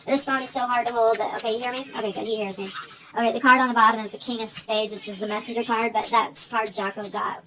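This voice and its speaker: A woman's voice: fairly high pitch, fairly even intonation, quick pace, noisy background. An American woman chatting in a rambling, informal one-sided phone call for an online video, drifting between asides. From United States.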